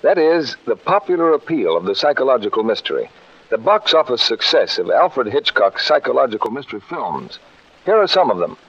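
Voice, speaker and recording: A man's voice: mid-range voice, big pitch swings, a little fast, fairly bright tone, breathy edge. A man presenting a 1940s radio programme in a formal, promotional announcing style. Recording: muffled old radio recording.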